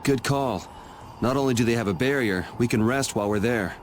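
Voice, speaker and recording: A man voices a young male hero in a game. He is steady and dryly caring, speaking casually and decisively at a middling pitch, over faint noise. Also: a little fast, close to an everyday voice. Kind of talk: video game voice acting.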